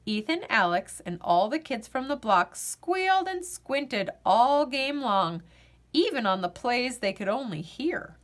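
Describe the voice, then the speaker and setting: A woman reading a children's picture book aloud in an expressive storytelling manner. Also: on the high side, a little slow.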